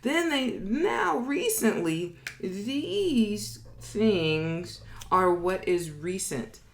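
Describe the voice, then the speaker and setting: A woman's voice: mid-range voice, sing-song, drawn-out words. A woman presenting an informal lesson in a conversational, emphatic way, acting out imagined quotes and often checking that listeners follow. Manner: conversational, emphatic, frequently checks in with "okay?" and "right?". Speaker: business educator, presenter. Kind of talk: informal explainer lesson at a board.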